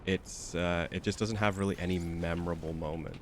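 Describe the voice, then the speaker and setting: A man's voice: low voice, steady tone, fairly quick, a little hiss or hum. A young man with a stuffy, slightly nasal voice, chatting casually and rambling with lots of fillers while streaming a game. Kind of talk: casual chat while gaming.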